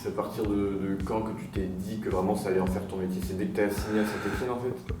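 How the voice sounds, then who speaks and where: A man's voice: on the low side, steady tone, fast, noisy background. A man asking a rugby player short, plain interview questions. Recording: distant, roomy, music behind.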